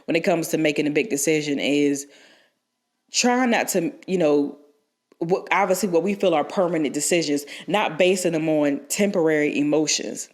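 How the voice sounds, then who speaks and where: Low-voiced stuffed-up woman chatting. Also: normal speed. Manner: rambling, frequent restarts and fillers. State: stuffed up from a cold. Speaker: podcast co-host. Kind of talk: casual advice chat.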